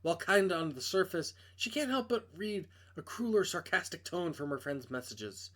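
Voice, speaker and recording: A man's voice: high-pitched, expressive, moderate pace, fairly bright tone. A man reading a visual novel aloud as a dramatic narrator, sometimes stumbling over words. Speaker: reader playing a visual novel.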